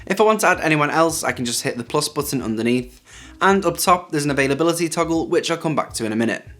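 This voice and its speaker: A man's voice: a little high, expressive, fast, a bit bright. A man hosting a tech video, narrating a scripted hands-on review. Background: background music.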